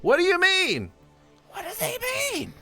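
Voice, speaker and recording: An actor playing a loud, gloating villain ruler in an audio drama, theatrical and shrieking with a cackle. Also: very high-pitched, huge pitch swings, a little slow, heavily acted. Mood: flustered. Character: loud, gloating villain High Master on trial. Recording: loud music under the voice.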